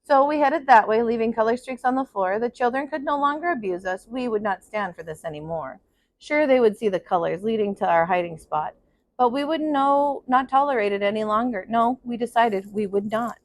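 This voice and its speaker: A woman reading a children's picture book aloud in an expressive kindergarten teacher's style. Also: a little high, brisk. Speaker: kindergarten teacher, storybook reader.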